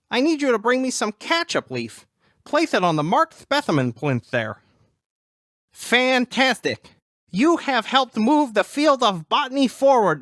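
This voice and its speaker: A fussy young academic botanist from a zombie shooter game, acted by a woman. The pitch swings about in a very lively way, and the delivery is wordy and bookish with small stammers. The sound is close and dry. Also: no room sound. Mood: chipper, eager. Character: eager, nerdy, fussy botanist doctoral student in a zombie shooter. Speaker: quest-giving game character. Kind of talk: scripted video game dialogue.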